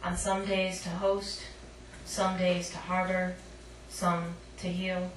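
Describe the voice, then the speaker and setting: A woman reads poetry aloud at a live event, informal and a little self-conscious. Her voice sits on the low side, caught at a distance in an echoey room with clear background noise. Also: little pitch movement, slow pace. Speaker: poet, featured reader. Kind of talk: live poetry reading with casual asides. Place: live poetry night at a cafe.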